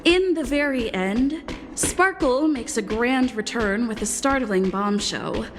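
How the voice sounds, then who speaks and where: A woman's voice: a little high, lively intonation, takes it slowly. A woman playing a grand, composed storyteller narrating a scripted video game story recap. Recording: long reverb, music behind.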